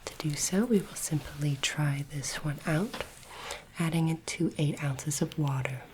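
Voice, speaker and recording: A woman doing a soft-spoken, whispered ASMR roleplay as a polite, formal alien interviewer, with deliberate phrasing. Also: deep voice, background noise.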